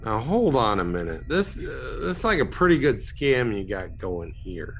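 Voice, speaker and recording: An actor playing a skeptical, plain-spoken American man in a radio drama, blunt and doubting, with a gravelly, husky voice. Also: on the low side, big pitch swings, moderate pace, soft background music. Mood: doubtful, startled.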